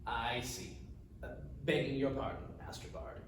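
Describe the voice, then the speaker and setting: A man's voice: mid-range voice, flat delivery, a little slow, lightly acted. Male author reading a seasoned guard sergeant in a fantasy story, clipped formal courtesy, distant and very reverberant. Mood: respectful. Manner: clear, businesslike, formal. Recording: distant mic, very echoey room, faint noise.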